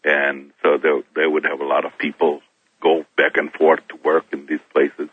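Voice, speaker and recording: A middle-aged man from Kenya, a guest on a talk show, telling his own story in a halting way, often restarting his words. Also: extremely low pitch, level tone, slightly rough, no room sound. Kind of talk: personal testimony in a talk show interview. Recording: thin phone-like sound, dry.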